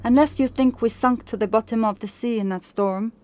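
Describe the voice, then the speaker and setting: A woman's voice: fairly high pitch, a little fast, a little mellow, soft background music, lightly acted. A woman voicing a practical, sceptical, short-tempered Viking warrior woman in an audiobook. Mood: exasperated. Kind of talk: audiobook character acting.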